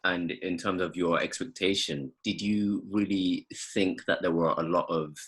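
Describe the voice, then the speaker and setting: Very deep-voiced man, husky. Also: fairly even intonation, moderate pace, a bit bright. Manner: hesitant, many ums and restarts. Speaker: podcast co-host. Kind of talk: casual podcast chat.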